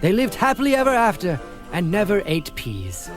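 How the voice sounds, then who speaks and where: A woman's voice: medium pitch, huge pitch swings, normal speed. A woman playing a mock-solemn storyteller, giving theatrical comic narration with dramatic patter over music. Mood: storytelling. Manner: dramatic, crisp alliterative patter.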